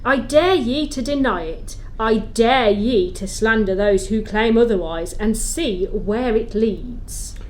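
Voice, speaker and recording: Stern ghostly spirit played by a woman, fairly high voice, slow and grave, declamatory audio-play delivery. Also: rough recording. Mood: stern warning. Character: solemn, stern Christmas spirit giving grave warnings. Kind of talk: amateur audio play acting.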